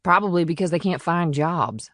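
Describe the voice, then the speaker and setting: A man's voice: fairly high pitch, animated, moderate pace, pushed and bright, dry recording, clean studio sound, voice pushed up. A man voicing a firm, plainspoken small-town policewoman who defends her townsfolk in audiobook dialogue. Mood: defensive.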